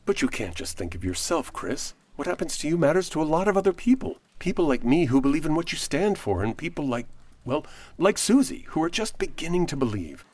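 A man's voice: a little high, sing-song, fairly quick, a little mellow, no room sound. A man playing an earnest, persuasive, idealistic lawyer in a radio play. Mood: pleading. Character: earnest, idealistic male lawyer defending a friend.

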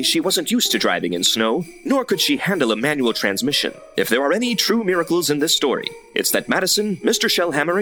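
A man's voice: medium pitch, bright, forceful tone. A man giving scripted narration for a comic radio drama.

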